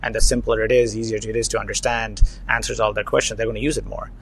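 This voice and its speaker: A man's voice: on the low side, flat delivery, quick pace, vocal fry, fairly bright tone, a little hiss or hum. A man hosting a podcast, asking long, hesitant questions with many fillers. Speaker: podcast host, investor. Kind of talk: interview questions, conversational.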